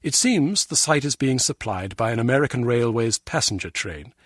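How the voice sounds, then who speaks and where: Dour, raspy intelligence bureaucrat. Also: male voice, medium pitch, expressive, moderate pace, a bit bright, no echo, studio quality. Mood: businesslike. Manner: clipped, formal, dour. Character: dour, critical male British intelligence bureaucrat. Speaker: intelligence official giving briefings. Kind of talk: video game voice acting.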